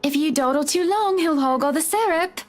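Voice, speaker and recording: Actress as very high-pitched, teasing wealthy wife. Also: female voice, normal speed, faint music behind, natural voice. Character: Mrs Wright, chatty, teasing wealthy wife. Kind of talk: visual novel voice acting.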